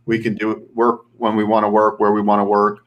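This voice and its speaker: A man's voice: on the low side, completely flat delivery, brisk, highs cut off. A man in his forties chatting casually on a live show, rambling with many restarts and fillers. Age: 40s. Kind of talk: casual chat.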